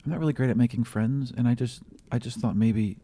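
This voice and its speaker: A man's voice: mid-range voice, warm tone, slight background noise, lightly acted. Man voicing an eager, flustered young potion student girl. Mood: hurt, unsure. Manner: halting, repetitive, flustered. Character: eager, insecure young woman potion-making student, socially awkward.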